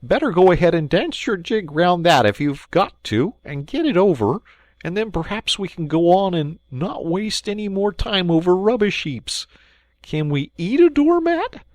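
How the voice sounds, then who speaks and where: A fussy, prim mole, as a storybook reader puts him on, who lectures and grumbles with pettish precision. His voice rides higher than the narration and moves a lot. The sound is dry and close. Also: very animated, normal speed, a little mellow, dry recording, close to an everyday voice. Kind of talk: storybook read-aloud.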